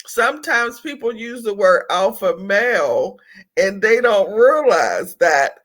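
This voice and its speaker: A woman's voice: medium pitch, lively intonation, slow pace. A woman hosting a podcast interview who affirms her guest often and talks in a casual, personal way. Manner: warm, affirming, frequent "yes" and "absolutely". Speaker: podcast host.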